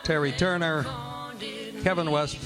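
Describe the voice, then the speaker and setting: Male radio drama announcer, slow, measured credit reading over clear music. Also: a little high, fairly even intonation. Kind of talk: formal radio theatre announcing. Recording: live stage with music behind.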